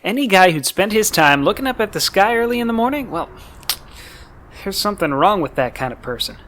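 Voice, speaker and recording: A man's voice: high-pitched, big pitch swings, brisk, fairly bright tone, a little hiss or hum. A man playing a belligerent, suspicious neighbor turned vigilante in a radio play, with folksy, accusing speech.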